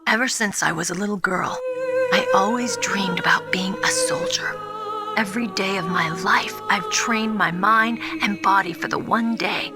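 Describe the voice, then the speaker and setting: An actress playing a spirited, earnest young rookie soldier in a video game, speaking in a frank, expressive way. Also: female voice, medium pitch, normal speed, over loud music. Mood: impassioned, troubled. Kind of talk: dramatic video game voice acting.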